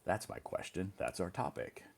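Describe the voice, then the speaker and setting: A man's voice: deep voice, expressive, moderate pace, vocal fry. A middle-aged man hosting a casual live stream, chatting and answering viewer comments. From Iowa, United States.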